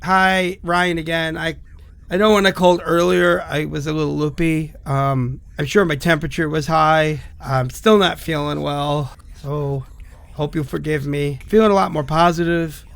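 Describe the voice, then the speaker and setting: Actor as a high-voiced, rattled sick man, gravelly. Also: fairly even intonation, normal speed, a bit bright. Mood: upbeat, sheepish. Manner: conversational, rambling, hesitant. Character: sick, rattled, apologetic man leaving rambling phone messages. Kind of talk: horror audio drama monologue.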